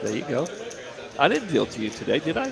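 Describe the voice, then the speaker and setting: A man's voice: fairly high pitch, wildly swooping pitch, fast talker, creaky, a crowd in the background. A middle-aged man from Delaware doing casual poker commentary, chatty and repeating himself as he guesses at hands. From Delaware, USA.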